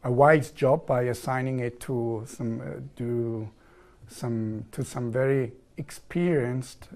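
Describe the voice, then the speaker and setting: A man's voice: medium pitch, fairly even intonation, a little mellow. A man in his forties from southern Germany with a German accent, explaining his work carefully as a guest in a TV studio interview. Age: 40s. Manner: measured, explanatory, repeats set phrases.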